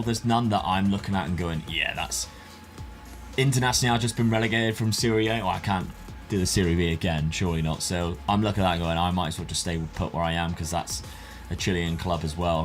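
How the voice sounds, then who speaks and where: Guy hosting a football manager type video, informal and chatty, music playing behind him. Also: sits low. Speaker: gaming video host. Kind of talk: scripted narration and casual chat over a football management game.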